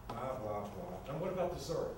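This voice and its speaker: A man's voice: mid-range voice, very animated, fairly quick, natural voice. A man acting a flippant, pleading housemate of about thirty in a staged screenplay reading. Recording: echoey, noisy room from far off.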